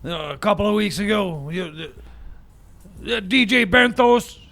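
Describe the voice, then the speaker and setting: A man doing a high gravelly stammering crank. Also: expressive, a bit bright, heavily acted. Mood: complaining. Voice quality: stammering, halting. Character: blustering cranky male time machine mechanic. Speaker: recurring comic character. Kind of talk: improvised comedy radio bit.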